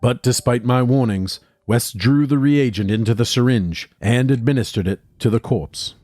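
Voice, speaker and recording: A man playing an anxious, well-spoken assistant, narrating a horror audio drama in a formal, measured way. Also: mid-range voice, mellow, no echo, soft background music, natural voice. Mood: grave, uneasy.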